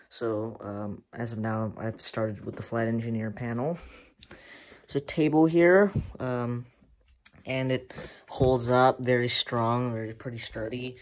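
A man casually showing a homemade build on a handheld phone camera in a room at home, talking in a hesitant, soft-spoken way. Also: mid-range voice. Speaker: hobby channel host. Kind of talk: casual show-and-tell vlog.

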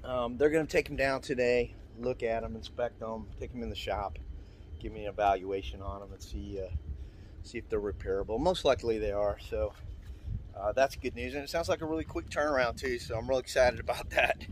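A middle-aged man chats casually on his sailboat at a marina, filming himself up close. His talk is relaxed and rambling, full of fillers and restarts. His voice sits at an ordinary pitch, with faint outdoor noise behind it. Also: fairly quick. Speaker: sailboat owner vlogger. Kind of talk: casual vlog narration.

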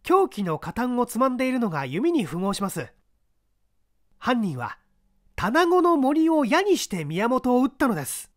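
A man's voice: very high voice, sing-song, normal speed, dry recording, clean studio sound, voice pushed up. A man voicing an earnest unemployed young man who argues his case with eager intensity in a mystery reading. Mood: excited.

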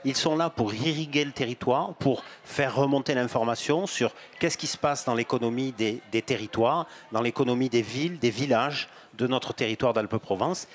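A man in his fifties, a bank executive, giving measured explanatory answers in an interview at a fair stand, with some hesitations and repeated words. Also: mid-range voice, slight background noise. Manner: gestures broadly while talking. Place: outdoor stand at an agricultural fair, on a sofa. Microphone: handheld microphone.

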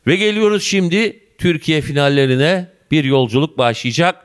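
A man in his fifties interviewing a student in a school office, effusive and warm in address, holding a handheld mic. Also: fairly high pitch, animated, normal speed, clean tone. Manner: effusive, addresses viewers and guest as "dear". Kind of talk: local TV interview.

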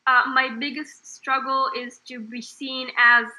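A woman from Israel, a startup founder, answering interview questions in a high voice, pausing often with fillers and restarts. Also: level tone, bright, forceful tone, poor audio. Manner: hesitant, many fillers and restarts.

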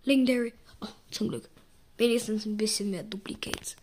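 A hoarse young woman giving casual gaming commentary, excitable and yelping at results, slipping into a raspy near-whisper when she talks quietly. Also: medium pitch, normal speed. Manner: excitable, shrieks and groans at spin results. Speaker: gaming YouTuber.

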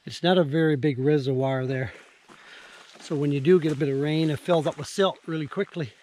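A man's voice: fairly high pitch, fairly even intonation, brisk, warm tone, a little hiss or hum. Older Newfoundland man vlogging at his cabin. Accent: Newfoundland English. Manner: plain, matter-of-fact explaining of chores. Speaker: outdoor vlogger. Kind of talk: casual vlog narration. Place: outdoors by a forest cabin. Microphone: action camera held at arm's length.

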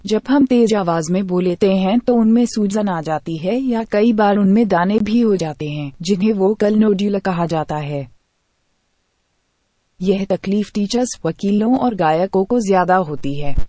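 A woman reading a scripted health-tips voice-over in an even, reading tone. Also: mid-range voice, no room sound. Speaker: health segment narrator.

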